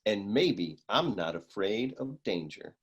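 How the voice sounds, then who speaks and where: A man acts as a cocky private detective in a noir radio comedy. His voice is husky and swings noticeably up and down, with a cool, lecherous, deadpan swagger. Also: fairly low pitch, slow pace, full character voice. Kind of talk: comic noir radio play acting.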